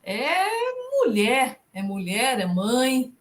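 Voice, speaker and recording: A woman's voice: a little high, lively intonation, very slow. A middle-aged woman from southern Brazil, a professor chatting as an interview guest, tagging her sentences with "né?". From Rio Negrinho, southern Brazil. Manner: warm storytelling, frequent "né?" tags. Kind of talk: casual interview chat.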